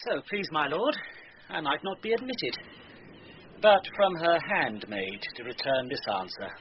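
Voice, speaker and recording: A man's voice: on the high side, very animated, a little slow. An actor playing a deferential courtier reporting to his lord in a classical Shakespearean radio drama, formal and declamatory. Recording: muffled, noisy radio broadcast.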